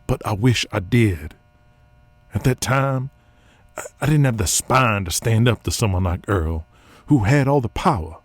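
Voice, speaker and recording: Low-voiced, brooding outlaw wrestler. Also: male voice, lively intonation, a little slow, pauses often, no room sound. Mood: solemn, resolute. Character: earnest, hard-bitten outlaw pro wrestler. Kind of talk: audio drama acting.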